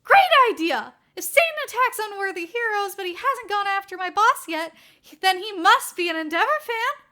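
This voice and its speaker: A woman voicing an exasperated, loud-mouthed female hero agency sidekick in a fan fiction reading, with exclamatory complaints. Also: very high-pitched, lively intonation, moderate pace, voice pushed up, heavily acted. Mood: excited. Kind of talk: acted fan fiction dialogue.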